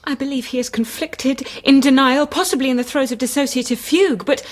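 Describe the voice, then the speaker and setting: An actress playing an earnest, determined female psychoanalyst in a radio drama, urgent and persuasive, with a breathy voice. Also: sits high, a little fast.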